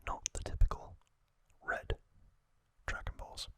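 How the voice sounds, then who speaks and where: A man narrating trivia as an ASMR host in a gentle, breathy, deliberate whisper. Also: no echo.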